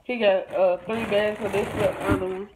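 A woman's voice: mid-range voice, fairly even intonation, a little fast. An American woman chatting casually to camera in a folksy, informal way, as a vlogger at home. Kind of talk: casual chat to camera, showing purchases. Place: room at home, indoors. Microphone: phone or camera at arm's length. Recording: noisy handheld home recording, clicks.

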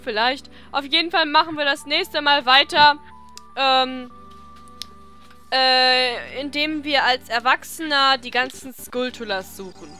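Young female let's play host, high-pitched, unhurried, playful and teasing. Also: sharp tone. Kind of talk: casual gaming commentary. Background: video game music.

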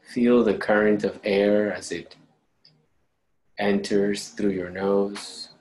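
A man leading a guided meditation, giving calm, unhurried instructions with long pauses between phrases. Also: on the low side. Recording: clear steady background noise.

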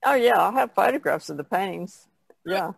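A man's voice: sits high, expressive, normal speed, gravelly, husky, no room sound. A man talking casually as an artist guest on a podcast, telling stories in a plainspoken way. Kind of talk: casual conversational storytelling.

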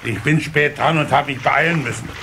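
An actor playing a jovial, greedy bog sprite uncle in a children's audio play, creaky and carefree in manner. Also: male voice, mid-range voice, a little fast, background noise, lightly acted. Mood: breezy, unbothered.

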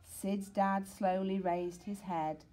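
A woman reading a children's picture book aloud, deliberate and expressive. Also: mid-range voice. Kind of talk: children's storybook narration.

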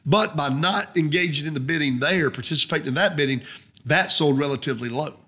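Fast-talking folksy Southern man. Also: fairly high pitch, pushed and bright, husky. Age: middle-aged. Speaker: guest, auctioneer. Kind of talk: conversational podcast interview, explaining his business. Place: indoor podcast studio at a round table. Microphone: boom-mounted podcast microphone up close.

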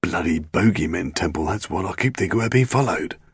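A grumbling, uneasy British underling, played by a male audiobook reader, with a creaky voice. He mutters warily, his pitch moving about a good deal. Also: animated, fairly quick, no echo, studio quality, heavily acted. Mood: uneasy muttering. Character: jumpy, grumbling British man, deferential underling from London.

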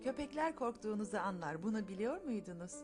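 A woman voicing a kindly, sceptical mother with a gently teasing manner in a dubbed children's cartoon. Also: medium pitch, expressive, quick pace, natural voice. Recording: music under the voice.

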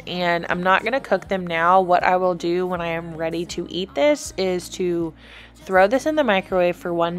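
A woman giving a chatty, informal voice-over as a vlogger explaining meal prep. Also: on the low side. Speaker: vlogger, host. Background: background music.

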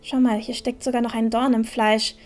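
A woman playing a practical, caring young village adventurer in an audio drama, speaking in a calm, matter-of-fact way. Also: fairly high pitch, normal speed, soft background music, natural voice. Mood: calm, concerned.

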